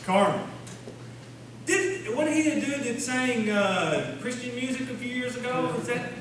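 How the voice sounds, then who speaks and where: Man as high-voiced bumbling small-town radio announcer. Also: animated, unhurried, crowd noise. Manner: folksy, bumbling. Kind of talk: comic live radio-show hosting. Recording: echoey noisy hall, distant microphone.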